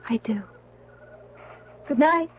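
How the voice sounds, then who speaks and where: A woman's voice: high voice, slow pace, slight background noise. An actress playing a devoted young woman in love in a 1970s radio drama, tender and earnest. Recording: tinny, dry old radio broadcast.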